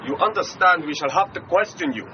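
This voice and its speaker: An actor playing an officious border guard with a German accent in a period radio drama, curt and official. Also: male voice, high-pitched, brisk, natural voice. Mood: stern. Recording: dull audio with outdoor noise. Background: outdoor noise.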